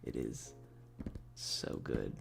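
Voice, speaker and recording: A man chatting casually on an ASMR game stream in a soft voice that often slips toward a whisper, laid-back and sweary with slangy, hesitant enthusiasm. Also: very slow, faint music behind. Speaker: streamer hosting a live stream. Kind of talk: casual rambling ASMR stream chat about games.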